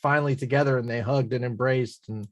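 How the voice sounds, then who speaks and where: A man's voice: medium pitch, steady tone, brisk, no echo. A man chatting casually on a video call, laughing easily and reflecting on the film. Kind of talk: casual online chat.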